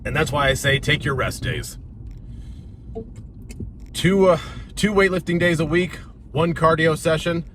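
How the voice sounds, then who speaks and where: An American man talks fitness in a loose, joking vlog style, circling back and stumbling over his words when he runs out of ideas. His voice sits at an ordinary middle pitch. Steady road noise and passing cars run under the recording. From United States. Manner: rambling and jokey, stutters when thinking. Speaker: fitness coach. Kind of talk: casual talking-head vlog. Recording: noisy with car sounds behind.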